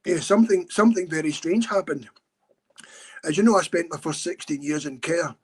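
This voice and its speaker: Scottish man, middle-aged, fairly high voice, earnest urgent storytelling. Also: husky. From Scotland. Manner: earnest, urgent, talks fast and at length. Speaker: campaigner and guest. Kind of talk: emotional casual storytelling.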